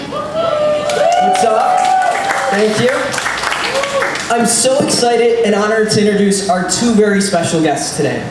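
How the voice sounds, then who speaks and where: A man moderating a live convention panel, giving enthusiastic announcer-style introductions of his guests. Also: high voice. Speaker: panel moderator and podcast host. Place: large convention hall. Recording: echoey hall miked from far off with noise.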